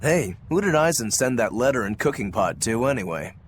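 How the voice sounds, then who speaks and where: A man's voice: medium pitch, big pitch swings, fairly quick, a little hiss or hum, natural voice. A man playing a cocky, nosy, teasing fighter in a video game, with a casual, insinuating manner. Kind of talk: English video game voice acting.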